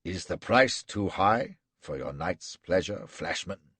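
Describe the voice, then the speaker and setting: A slow gloating warlord, as a man puts him on. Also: deep voice, animated, a bit bright, slightly rough, no room sound, exaggerated voice. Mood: menacing. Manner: slow, gloating, measured threats. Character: menacing cruel male warlord, cold and mocking. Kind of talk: audiobook character reading.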